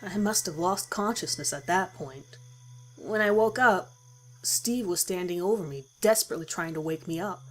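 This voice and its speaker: A woman's voice: lowish voice, normal speed. A woman reading a first-person horror story as a dramatic scripted narration. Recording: music behind the voice. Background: music.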